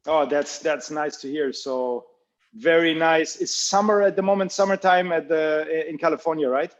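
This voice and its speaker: Man, podcast host, fairly high voice, halting, many "okay"s, dull lo-fi recording. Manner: halting, repeats "okay" often. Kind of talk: casual interview talk. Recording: dull, lo-fi sound.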